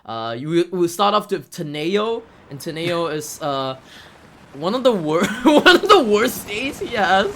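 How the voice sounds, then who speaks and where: A man's voice: high voice, big pitch swings, measured pace, pushed and bright. A man giving casual, hesitant live commentary as he speedruns a video game, often repeating words. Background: game music.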